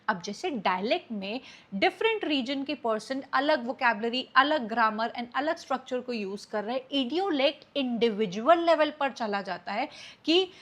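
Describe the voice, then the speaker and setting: A woman's voice: high-pitched, fairly bright tone. A woman from India with an Indian accent, teaching an exam-prep lecture and explaining terms while mixing Hindi and English.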